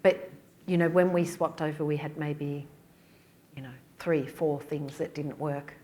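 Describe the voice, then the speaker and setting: Australian woman on a panel, practical and direct, lower voice, roomy hall sound. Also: fairly even intonation, unhurried, darkish tone. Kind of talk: panel answers. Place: community hall. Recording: echoey hall with faint noise.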